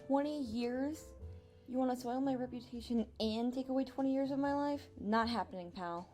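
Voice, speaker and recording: A woman's voice: sits high, normal speed, a little mellow, faint music behind, natural voice. A woman playing a feisty, defiant defendant in an audio drama, sassy and combative. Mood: indignant, defiant.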